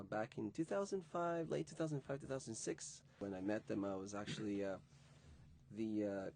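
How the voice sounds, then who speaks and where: A man in his thirties speaks steadily, pausing on fillers as he explains his work. His voice is low and gravelly. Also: a little mellow, slight background noise. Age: 30s. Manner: measured, with frequent fillers. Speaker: youth program coordinator. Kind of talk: recorded interview introduction. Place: museum interview room.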